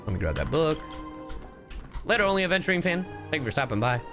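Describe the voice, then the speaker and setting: A man's voice: a little high, sing-song, quick pace. A man chatting casually as a gaming streamer, joking and swearing freely. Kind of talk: casual gaming livestream chat. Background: game music.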